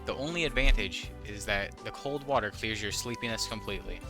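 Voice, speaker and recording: A man's voice: on the low side, fairly quick, music in the background. A man reading visual novel text aloud with casual, wry commentary, sometimes stumbling over the words. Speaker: gaming YouTuber, host.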